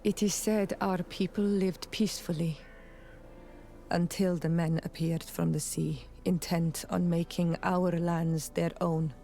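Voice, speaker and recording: A woman's voice: on the low side, flat delivery, a little slow, mellow, soft background music. An actress playing a proud, loyal young warrior woman of a tribe in a video game cutscene, with solemn, formal and intense phrasing. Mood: solemn storytelling.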